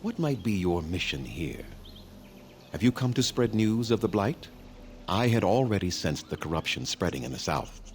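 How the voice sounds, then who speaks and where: An actor playing a weary, haughty elven clan leader in a fantasy video game, speaking formally and gravely in a measured way. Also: male voice, low-pitched, sing-song, a little hiss or hum. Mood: wry, grave.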